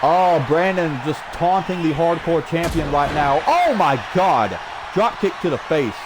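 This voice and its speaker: High-voiced excitable male wrestling commentator. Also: measured pace. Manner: energetic, frequent shouted exclamations. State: strained throat. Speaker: play-by-play commentator. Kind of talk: excited wrestling commentary over video game. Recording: loud game crowd and noise behind. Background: video game wrestling crowd.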